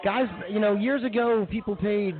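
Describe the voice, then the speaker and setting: A man's voice: high-pitched, fairly even intonation, moderate pace, faint music behind. A man co-hosting a radio show with informal, joking banter, recorded with narrow phone-like sound. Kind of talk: casual live banter.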